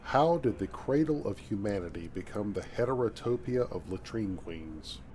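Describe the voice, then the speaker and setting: A man voicing a courteous, earnest space adventurer, measured and articulate, over a video game visual novel. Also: fairly low pitch, expressive, a little mellow, a little hiss or hum, close to an everyday voice. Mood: inquisitive.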